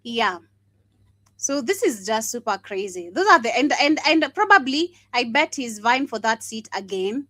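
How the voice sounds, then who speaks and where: A woman's voice: on the high side, sing-song, moderate pace, sharp tone, dry recording. A woman hosting a casual commentary broadcast, scornful and emphatic, laughing now and then. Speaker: host.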